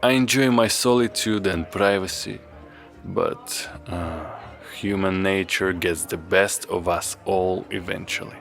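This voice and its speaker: A man playing a reserved, solitary young therapist in an audio drama, speaking in a measured, formal, understated way. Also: low voice, vocal fry, fairly bright tone, full character voice. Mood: guarded, weary. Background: music.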